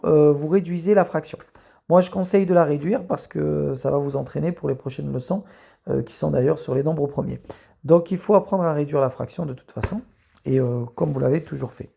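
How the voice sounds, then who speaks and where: A math teacher walks students through a correction, step by step, with a gravelly, mellow voice. The talk is filled with hesitations and restarts, and it slows down whenever the teacher writes. It is recorded on a camera aimed at the page. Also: mid-range voice. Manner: explains step by step with many fillers; slows down to write while talking. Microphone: camera filming a written page.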